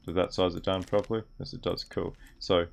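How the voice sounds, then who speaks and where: Gravelly low-voiced young man gaming. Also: little pitch movement, a little fast. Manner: mutters aloud while reading on-screen text. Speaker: gaming video creator. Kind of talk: game commentary while playing. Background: keyboard typing and clicks.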